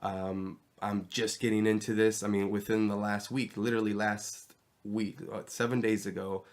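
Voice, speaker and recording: A man's voice: fairly low pitch, flat delivery, normal speed. A man hosting his first home podcast, talking candidly and rambling with plenty of ums, his voice stuffy and nasal from a cold, recorded in a bedroom on a cheap mic. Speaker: podcast host. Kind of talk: casual solo podcast monologue. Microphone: inexpensive mic into a laptop.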